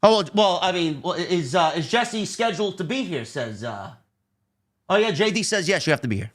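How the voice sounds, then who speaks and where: A man's voice: sits high, expressive, moderate pace. A man voicing a brash male Mexican luchador wrestler who rambles and calls everyone "man" and "bro". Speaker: podcast host. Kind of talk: comedic bit on a wrestling podcast.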